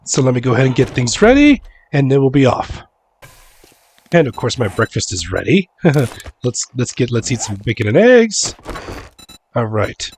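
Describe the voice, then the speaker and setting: Hoarse man getting over a cold, casual game commentary, mid-pitched, stumbles and repeats words as he plays. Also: very animated, normal speed, darkish tone, slight background noise. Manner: repeats words, talks himself through tasks.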